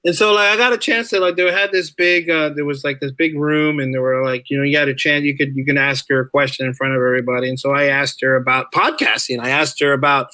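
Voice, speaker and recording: A man speaking casually as a podcast guest, telling stories in an animated, rambling way. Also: medium pitch, quick pace, few pauses, no room sound. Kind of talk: casual interview chat.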